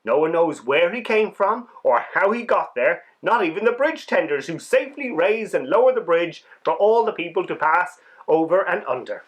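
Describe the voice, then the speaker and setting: A man reading stories aloud for children in an expressive, dramatic way, leaning on key words. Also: high-pitched, moderate pace, few pauses, lo-fi sound. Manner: animated, dramatic delivery with emphasized words. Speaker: children's storybook reader and host. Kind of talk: expressive read-aloud storytelling for kids.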